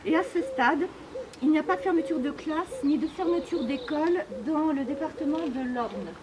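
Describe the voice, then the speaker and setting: High-pitched woman, halting official tone. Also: crowd noise. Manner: hesitant, halting formal official phrasing. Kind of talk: spoken press briefing, unscripted. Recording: clearly noisy on-location recording.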